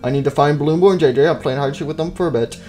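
A man's voice: on the high side, very fast, mellow, faint music behind, natural voice. A man voicing a busy, self-assured pirate fox animatronic who talks down in rambling pirate speech for a cartoon skit. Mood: busy, apologetic. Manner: rambling, patronizing pirate talk.

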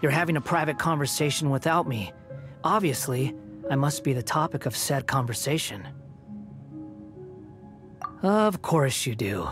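A man's voice: mid-range voice, expressive, unhurried, long pauses, over music. A man voicing a prickly, haughty young puppet wanderer in a video game, dry and sarcastic. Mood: sarcastic, offended. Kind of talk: English video game voice acting.

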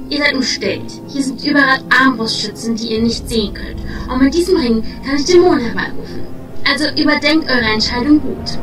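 A woman's voice: high voice, lightly acted. A woman playing a cold, imperious night-elf sorceress who threatens in a fantasy audio drama. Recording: roomy, distant voice over faint music.

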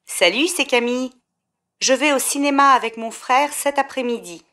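A woman's voice: high-pitched, moderate pace, background noise. Friendly woman leaving a phone message. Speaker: recorded answering-machine message in a textbook listening exercise. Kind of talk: scripted, clearly read phone message.

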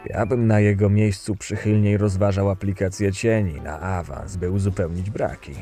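A cool, cynical camp boss acted by a man narrates his own fantasy audio drama. His voice is low and mellow, dry and detached, over faint music. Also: steady tone, moderate pace, close to an everyday voice. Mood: dry, unbothered.

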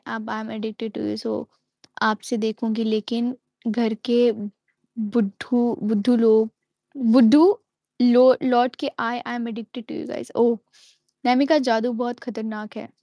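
A woman's voice: on the high side, fairly even intonation, slow, mellow, no room sound. A young woman chatting playfully on a home live stream, reading out comments and giggling often. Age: young adult. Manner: giggly, playful, switches into English. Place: indoors at home, small room. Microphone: wired earphone mic on a phone.

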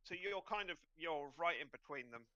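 A man's voice: a little high, lively intonation, normal speed, pushed and bright, no echo, dull audio. A man running an online tabletop roleplaying game as game master, narrating in a hesitant, conversational way.

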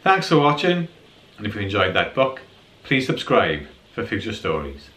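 A man in his forties reading a children's storybook aloud as scripted narration, in a measured, expressive way. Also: fairly low pitch. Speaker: children's storybook reader and host.

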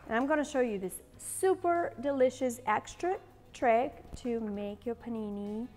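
Woman in her 40s, bright kitchen host, put-on Southern accent, voice a touch high, reverberant room with light music underneath. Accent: playful put-on Southern US. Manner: chatty, bubbly, laughs often. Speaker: cooking show host. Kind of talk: cooking demonstration to camera. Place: large bright home kitchen.

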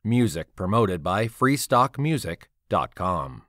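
A man giving a formal, measured scripted announcement as a credits reader. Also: low-pitched, sing-song, a bit bright, no room sound, clean studio sound. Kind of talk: scripted narration.

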